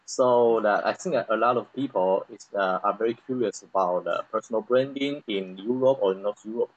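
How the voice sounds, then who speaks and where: A man from Taiwan hosting an interview, halting and repeating words as he asks questions. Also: on the low side, muffled recording. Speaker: podcast host. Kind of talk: casual interview.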